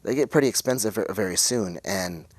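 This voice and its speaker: A man in his forties from West Texas, talking casually and haltingly as a guest, searching for words as he shares a personal account. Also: on the low side, no echo. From West Texas, United States. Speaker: interview guest, intersex speaker.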